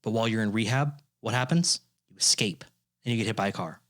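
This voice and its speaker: A man in his twenties co-hosting a podcast, teasing his co-host in casual banter and often breaking into high laughter. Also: fast. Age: 20s.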